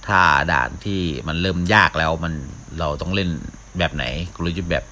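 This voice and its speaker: A man hosts a gaming video with casual, off-the-cuff commentary. His voice is deep with a forceful, bright edge, and he mutters to himself as he plays. There is steady noise behind him. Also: a little fast. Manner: mouths sound effects, talks to himself while playing. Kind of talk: casual live gameplay commentary.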